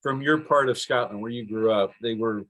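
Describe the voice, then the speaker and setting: Guy hosting a podcast, mid pitch, easygoing, lots of uh and um. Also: moderate pace, poor audio. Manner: relaxed and conversational, with fillers and restarts. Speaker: podcast host. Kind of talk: casual podcast chat.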